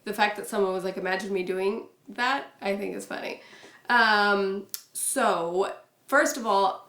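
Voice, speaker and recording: Reserved young woman vlogger, chatty and low-key, recorded in an echoey room. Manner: soft-spoken, reserved, many fillers. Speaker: YouTuber. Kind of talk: casual chat to camera.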